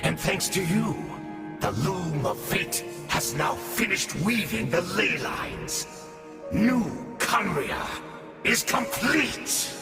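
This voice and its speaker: An actor voicing a grandiose, scheming herald of a dark order in an anime game, with a gravelly, formal, theatrical delivery. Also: male voice, sits high, very animated, takes it slowly, full character voice. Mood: triumphant. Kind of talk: anime video game voice acting. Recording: cavernous echo, long reverb, game music.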